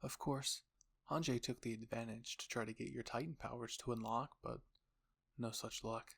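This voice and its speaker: Casting: male storyteller, mid-pitched, gravelly edge, scripted second-person narration for audio roleplay. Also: steady tone, moderate pace.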